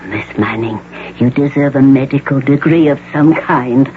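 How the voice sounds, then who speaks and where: An actor playing a courteous, formal male doctor with measured, precise diction in a 1980s radio drama. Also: mid-range voice, close to an everyday voice. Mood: pleased, courteous. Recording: tinny, noisy old radio broadcast.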